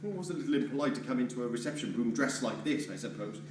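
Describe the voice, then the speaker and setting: An actor playing a rude, blustering debt collector who shouts, rants and mocks, in a stage play. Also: male voice, medium pitch, expressive, fast, a little hiss or hum, close to an everyday voice. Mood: indignant. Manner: shouting, sarcastic, ranting. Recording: echoey hall, distant mic.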